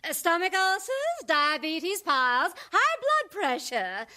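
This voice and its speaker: A woman's voice: extremely high pitch, very animated, slow, sharp tone, heavily acted. An actress playing a vain, gushing queen in a satirical radio drama, regal, theatrical and pompous. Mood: excited, imperious.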